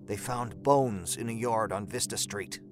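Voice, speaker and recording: Man playing pushy lovesick guy, soft hushed delivery, coaxing, insistent, radio-play style, music underneath. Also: on the low side, unhurried, voice pushed down, lightly acted. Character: clingy, insistent young man pursuing a woman. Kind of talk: audio drama acting.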